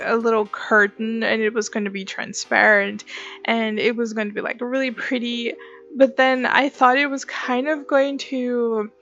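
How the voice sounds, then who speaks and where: Young woman, high voice, slow chatty delivery, breezy and gushing, casual story-time host over music. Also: fairly bright tone, highs cut off.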